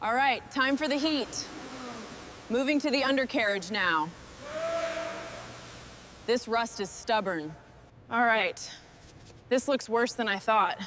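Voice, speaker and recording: A woman's voice: on the high side, slow pace, long pauses. A woman playing a determined mechanic who leads a machinery crew, speaking in terse, focused muttering and commands. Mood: focused, appraising. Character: determined hands-on female mechanic leading a heavy machinery restoration crew. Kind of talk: scripted workplace dialogue with muttering and commands. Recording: loud machinery and vehicle noise behind.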